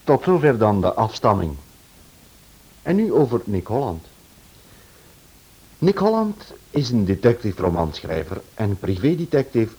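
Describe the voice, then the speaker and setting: A man's voice: deep voice, a little mellow, slightly rough, poor audio. A man, a radio director giving a scripted introduction to a drama series.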